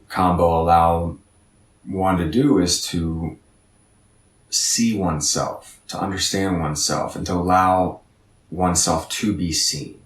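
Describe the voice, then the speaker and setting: A man's voice: sits low, echoey room, distant mic. A man in his thirties giving an earnest, reflective motivational talk as a podcast guest, often repeating words as he thinks. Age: 30s.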